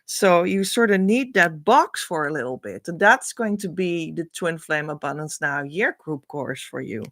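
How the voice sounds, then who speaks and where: A woman with a Dutch accent, a spiritual coach thinking aloud as she reads meaning into letters, with many pauses and hesitations. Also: deep voice, expressive, a bit bright, no echo, clean studio sound. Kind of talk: casual spiritual reading.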